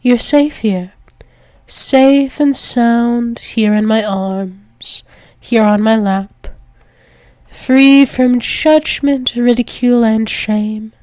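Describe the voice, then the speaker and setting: A woman's voice: fairly high pitch, very slow, a little mellow, dry recording. A woman playing a dominant, doting female alpha werewolf demon in an intimate ASMR roleplay, coaxing and soothing, often dropping into whispers. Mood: soothing, tender.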